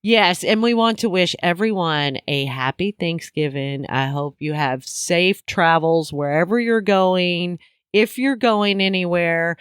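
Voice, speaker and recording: Unhurried, smooth-voiced woman co-host. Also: lowish voice, no room sound, studio quality. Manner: laughing, teasing banter. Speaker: podcast co-host. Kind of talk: casual chat.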